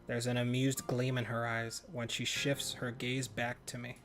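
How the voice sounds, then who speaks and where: A man's voice: medium pitch, completely flat delivery, normal speed, fairly bright tone. Man reading game narration, music behind. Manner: stumbles and rereads words. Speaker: let's player. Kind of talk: reading visual novel narration aloud.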